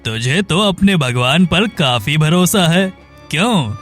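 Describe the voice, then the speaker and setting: A man's voice: on the high side, animated, takes it slowly, a bit bright, faint music behind. A man voicing a taunting, sceptical villager in a cartoon, with a needling, mocking manner.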